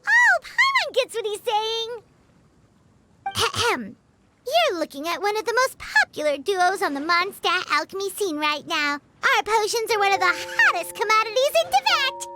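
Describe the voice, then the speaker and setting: A woman voicing a tiny, boastful fairy companion in a video game, with a squeaky childlike voice and an exclamatory manner. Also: sing-song, normal speed, very noisy. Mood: boastful, cheeky. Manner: exclamatory, refers to herself in third person. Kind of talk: animated video game dialogue acting. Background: music.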